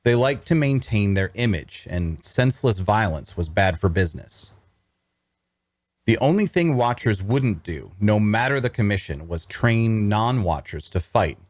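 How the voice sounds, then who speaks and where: A man's voice: lowish voice, expressive, a little slow, no echo, studio quality. An actor playing an earnest, cash-strapped traveler, telling his tale in first-person fantasy narration and dialogue. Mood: reflective. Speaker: narrator and lead actor in a table read.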